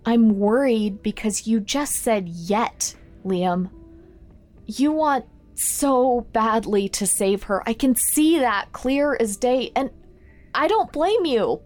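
Slow, worried, earnest woman. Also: a little high, darkish tone. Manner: hesitant, earnest, emphatic. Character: worried, protective female friend and teammate. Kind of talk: audio drama acting.

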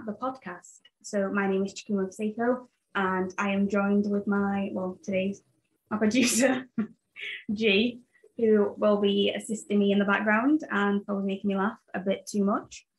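Young woman podcast host, late twenties, from Zambia and England, flat delivery, loose chatty manner. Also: medium pitch, moderate pace, poor audio. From Zambia, raised in England. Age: 20s. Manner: chatty, rambling, with fillers. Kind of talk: casual solo podcast chat.

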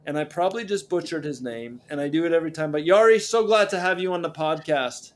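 A man with a somewhat high voice hosts a podcast, chatty and friendly. He often stumbles and doubles back on his words as he asks questions. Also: quick pace. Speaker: podcast host. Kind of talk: podcast interview.

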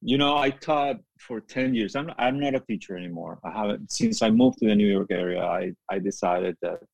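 A middle-aged man, a musician whose first language is not English, telling stories in a casual interview, lively and quick to act out what people said. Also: fairly low pitch, gravelly, no echo, highs cut off. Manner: animated storyteller, acts out quoted voices, laughs often. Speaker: guest musician, keyboard player.

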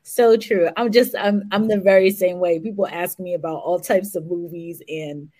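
Lively woman host with a low voice. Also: normal speed. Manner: lively, laughs easily. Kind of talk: casual live stream chat.

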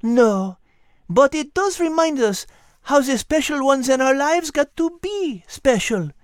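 A woman plays a refined little female animal in a children's audio drama. The voice is high and gentle, with articulate, teacherly phrasing. It sounds close and dry. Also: expressive, unhurried, no echo. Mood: gentle, reassuring.

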